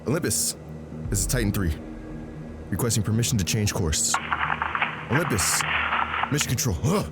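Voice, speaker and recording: A man's voice: fairly low pitch, big pitch swings, moderate pace, heavy background noise. A man playing an urgent field operative calling in to mission control in a scripted action drama. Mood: urgent, alarmed.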